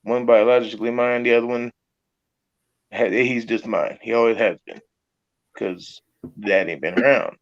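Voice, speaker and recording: A man speaking slowly and haltingly as a guest on a live stream, telling about his family with frequent laughs. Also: medium pitch, fairly even intonation, no room sound, dull audio. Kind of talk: casual chat.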